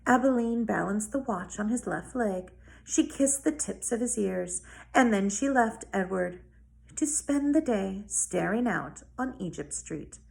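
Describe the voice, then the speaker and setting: A woman reads a children's novel aloud as a storyteller, with deliberate, dramatic phrasing. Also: on the high side, gravelly, poor audio. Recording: noticeable background noise.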